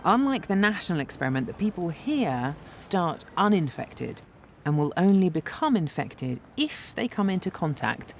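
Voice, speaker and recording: A woman presenting a documentary in clear, measured scripted narration. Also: on the low side, big pitch swings, a little hiss or hum. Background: background music.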